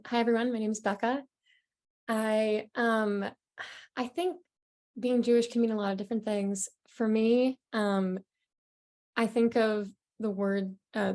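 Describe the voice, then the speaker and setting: A woman panelist on a video call speaking thoughtfully, pausing and hesitating as she answers. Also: medium pitch, a little mellow. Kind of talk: panel discussion.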